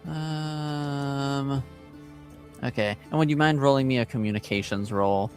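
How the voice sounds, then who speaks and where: A man running a tabletop game as game master, improvising narration in a halting, thinking-aloud way. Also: medium pitch, darkish tone, faint music behind.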